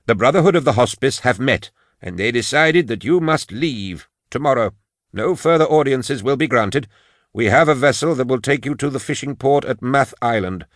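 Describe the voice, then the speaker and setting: A man's voice: medium pitch, lively intonation, normal speed, no echo, clean studio sound, pitched above the performer's own voice, close to an everyday voice. A man voicing a stern, officious brother of a grim hospice in a snapping, barking manner. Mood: curt, stern.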